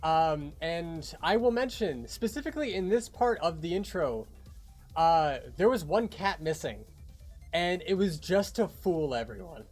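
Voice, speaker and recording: Young adult livestream host, lively intonation, casual and chatty, background music. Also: mid-range voice, measured pace. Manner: animated, informal. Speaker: livestream host of a fan animation project. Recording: music behind the voice.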